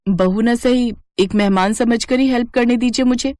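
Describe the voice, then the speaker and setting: A woman's voice: on the high side, moderate pace, warm tone, dry recording, studio quality, close to an everyday voice. A woman voicing a sweet, plucky young Indian daughter-in-law in a cartoon drama, pleading yet cheeky. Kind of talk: Hindi cartoon family drama acting.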